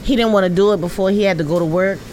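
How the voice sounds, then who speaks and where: A woman's voice: medium pitch, racing, darkish tone, slight background noise. A woman in her late twenties talking candidly and emphatically as a podcast co-host in casual conversation. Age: late 20s. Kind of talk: candid casual panel chat.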